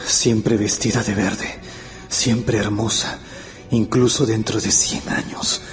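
An intense young man in love in a radio play. His slightly low voice is brooding and hesitant, edged with anxious horror, over faint music. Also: fairly even intonation, slow. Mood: brooding, astonished. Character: anxious, lovestruck young male historian, increasingly horrified. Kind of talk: Spanish-language radio drama acting.

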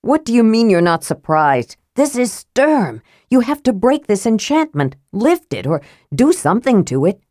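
A woman voicing an anxious, hot-headed young male warrior, loud and pleading. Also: a little high, sing-song, normal speed, dry recording, clean studio sound, pitched above the performer's own voice. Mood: distressed.

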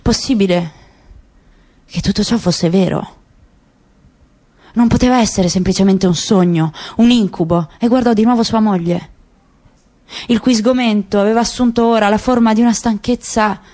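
A woman narrating a literary radio reading in a measured, unhurried storytelling manner. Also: mid-range voice, warm tone.

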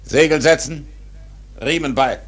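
An actor playing an obsessed, proud old whaling captain in a radio drama, rough-voiced, imperious and defiant. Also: male voice, a little high. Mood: commanding, angry. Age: older. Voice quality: gravelly, rough. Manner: imperious, slow, forceful.

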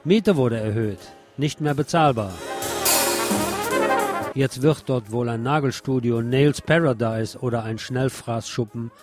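A male radio host narrates a scripted first-person essay at a middling pitch. His phrasing is clipped and sardonic, with a theatrical touch. Music runs underneath his voice. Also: measured pace.

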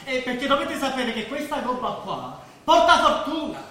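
An actor playing a comic, wheedling good-luck hustler with a southern Italian accent, colloquial and ingratiating, on stage. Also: male voice, very high voice, big pitch swings, moderate pace, bright, forceful tone, heavily acted. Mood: ingratiating, jokey. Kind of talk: theatrical stage dialogue. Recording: distant, echoey stage recording, faint noise.

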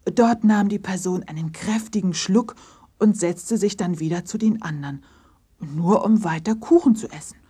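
A woman's voice: medium pitch, moderate pace, dark tone, slightly rough. A woman narrating a children's story from a script as the narrator of an audio play.

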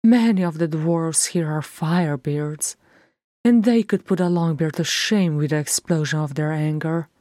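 A woman's voice: low-pitched, moderate pace, dark tone, no room sound, clean studio sound, close to an everyday voice. A woman voicing a firm, loving dwarf queen speaking to her grown son in a fantasy story, with a stately manner. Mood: fond, earnest. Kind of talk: fantasy audiobook character voice.

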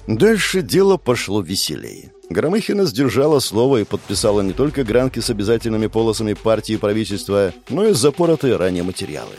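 Low-voiced guy narrating an audiobook, really animated, faint music under it. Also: quick pace, hardly any pauses. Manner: expressive, animated reading. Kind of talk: first-person audiobook narration.

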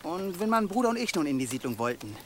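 An actor in a German radio drama playing a sly, menacing rogue with a teasing, insinuating manner and false friendliness. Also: male voice, high-pitched, very animated, fast, slight background noise. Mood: sly probing. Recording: clear outdoor ambience with birds.

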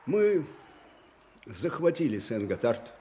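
Old general in a radio play, slow weighty speech that swings from hushed to thundering, fiery and anguished. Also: male voice, mid-range voice, very animated. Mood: grave, bitter. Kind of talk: theatrical radio drama acting.

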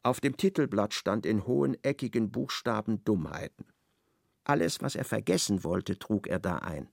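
A man giving measured, ironic literary narration in a radio play. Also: lowish voice, animated, vocal fry, no room sound, clean studio sound.

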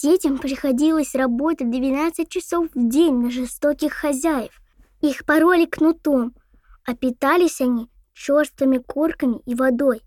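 Actress as a high, mellow, earnest young reciter. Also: female voice, normal speed, dry recording, clean studio sound, natural voice. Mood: earnest, grave. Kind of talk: scripted audio drama recitation.

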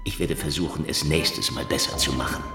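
Sardonic soviet secret cop, radio crime play, roomy sound, bit of music behind. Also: male voice, extremely low pitch, brisk, creaky. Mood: sardonic, menacing. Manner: sardonic, taunting, theatrical delivery. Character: cynical hardboiled Soviet secret police investigator, sardonic man. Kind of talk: German radio crime drama acting.

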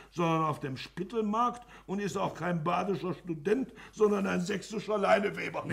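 A male actor in a German radio play, playing a jovial, sentimental man who spins anecdotes in an animated, drawn-out way. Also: high voice, creaky, heavily acted.

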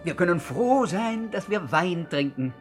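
An actor playing a superstitious, deferential pickpocket sidekick in a comic radio play, with a plaintive delivery. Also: male voice, high-pitched, animated, a little slow, faint music behind. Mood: earnest.

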